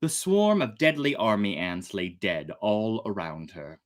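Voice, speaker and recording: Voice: deep voice, expressive, unhurried. An American storytime host who speaks expressively, moving between dramatic narration and chatty, casual asides. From United States, Oklahoma. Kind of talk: animated storytime reading with casual fun-fact asides.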